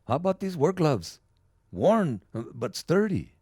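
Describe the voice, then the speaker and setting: An actor playing a shrewd street peddler in an audio drama, pitching secondhand goods in short clipped phrases. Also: male voice, big pitch swings, a little slow, darkish tone, husky, dry recording, clean studio sound, heavily acted. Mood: sly, selling. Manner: terse, clipped sales patter.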